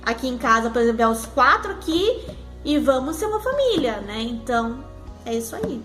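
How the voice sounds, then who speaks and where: High-voiced Brazilian woman giving family advice. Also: very animated, brisk, fairly bright tone, soft background music. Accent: Brazilian Portuguese. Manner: earnest, explanatory, tags sentences with "né?". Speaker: co-host, mother speaking about family life.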